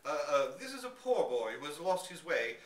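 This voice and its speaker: A man voicing a flustered, indignant country gentleman with a frantic, blustering manner, as in a storybook reading. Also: on the high side, very animated, normal speed. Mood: desperate. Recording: echoey room from a distance, noisy.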